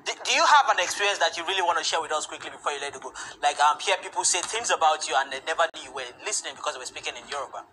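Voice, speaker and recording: A man's voice: fairly high pitch, expressive, rapid-fire, hardly any pauses, pushed and bright. A man interviewing a guest, polite, with many um fillers. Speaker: television interviewer. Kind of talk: broadcast interview. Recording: faint noise, broadcast clip played back.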